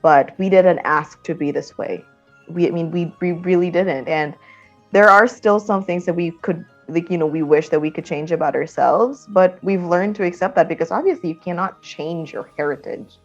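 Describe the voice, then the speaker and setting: A woman's voice: lowish voice, normal speed, dull audio, faint music behind. A woman in her twenties hosting a podcast from her room, talking casually and conversationally with many fillers. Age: 20s. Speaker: podcast host. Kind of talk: casual interview. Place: her own room, at home.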